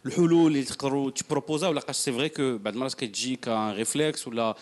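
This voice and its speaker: A man's voice: mid-range voice, darkish tone. A man moderating a panel, mixing Arabic and French. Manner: rapid, mixes Moroccan Arabic and French.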